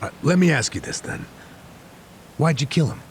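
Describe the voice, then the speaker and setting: An older man with an American accent playing a wry, nosy, unhurried detective who needles people, in a video game. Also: on the high side, lively intonation, slight background noise, lightly acted. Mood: skeptical, mocking. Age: older, near retirement. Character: needling, unhurried old male detective tailing an ex-con. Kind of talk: English video game dub acting.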